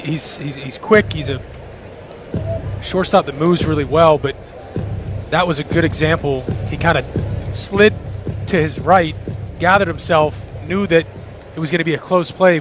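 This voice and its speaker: Higher-voiced male baseball analyst, stadium noise. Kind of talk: live radio sports analysis.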